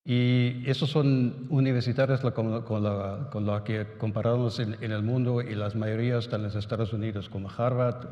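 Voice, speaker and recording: A man speaking Spanish as a non-native whose first languages are German and Italian, giving a halting symposium talk full of restarts and tag questions. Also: medium pitch, flat delivery, warm tone, dull audio. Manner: halting, many restarts and "¿no?" tags. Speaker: conference speaker. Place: conference hall. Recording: echoey hall with long reverb.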